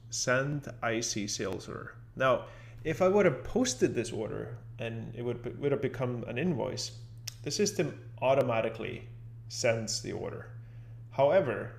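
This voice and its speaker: Male tutorial narrator, gravelly edge, medium pitch, informal step-by-step walkthrough. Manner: informal, thinking aloud step by step. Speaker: software instructor. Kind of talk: unscripted screen-share tutorial narration.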